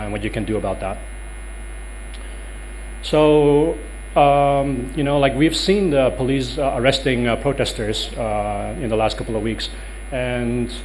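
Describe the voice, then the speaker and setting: A man's voice: mid-range voice, a little hiss or hum, low-quality recording. Dutch-accented man lecturing, full of ums. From Netherlands. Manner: hesitant, many ums and uhs. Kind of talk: workshop talk. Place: conference room.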